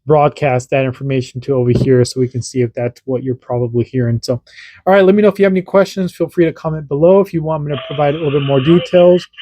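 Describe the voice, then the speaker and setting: Man, radio hobbyist host, casual demo talk, rambling, mellow tone, dry sound. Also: mid-range voice, dry recording. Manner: rambling and informal, often restarting his sentences. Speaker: parent. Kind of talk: casual hands-on demo and explanation.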